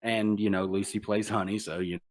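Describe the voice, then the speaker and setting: A man co-hosting a podcast interview, casual and halting as he searches for his words. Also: on the low side, little pitch movement. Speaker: podcast co-host.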